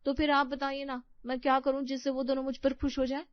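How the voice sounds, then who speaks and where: A woman's voice: high-pitched, monotone, fast, no room sound, lo-fi sound, pitched above the performer's own voice, natural voice. A woman voicing a naive, eager young new bride who is easily slighted, in a family storybook tale. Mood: hopeful.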